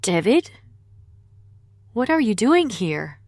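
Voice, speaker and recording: A woman's voice: mid-range voice, very animated, takes it slowly, darkish tone, no echo, studio quality. An actress playing a guarded, blunt woman investigator in an audio drama, speaking warily. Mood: surprised.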